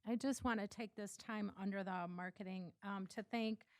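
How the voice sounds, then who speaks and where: A woman's voice: medium pitch, steady tone, moderate pace, dry recording, clean studio sound. A woman on a board giving chatty thanks to staff at a meeting, with many ums. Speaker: board member.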